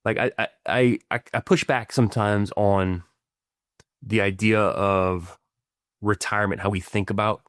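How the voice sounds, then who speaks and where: A man's voice: lowish voice, fairly even intonation, unhurried, fairly bright tone, clear, resonant tone, dry recording, studio quality. A middle-aged man co-hosting a podcast as a financial planner, explaining ideas in casual chat with many restarts and "right?" tags. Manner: stumbling restarts, frequent tag questions.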